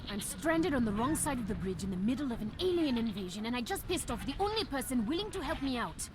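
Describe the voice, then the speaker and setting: A feisty young woman in a game drama, high and lively in pitch, sardonic and stubborn. Loud street and vehicle noise sits behind her. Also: fast. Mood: rueful. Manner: sardonic, defiant. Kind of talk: game audio drama acting.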